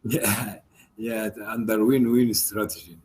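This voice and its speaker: Slow-speaking man with faint background noise. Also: fairly low pitch, flat delivery, darkish tone. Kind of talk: greeting colleagues in an online meeting.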